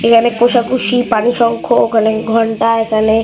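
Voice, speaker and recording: A woman's voice: a little high, completely flat delivery, moderate pace, warm tone, low-quality recording. A woman narrating a casual show-and-tell vlog, pointing things out one by one. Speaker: vlog host.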